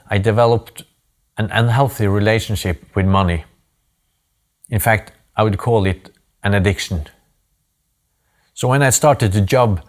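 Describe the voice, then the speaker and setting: Norwegian man telling his life story. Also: fairly low pitch. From Norway. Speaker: speaker giving a talk. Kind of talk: personal story talk.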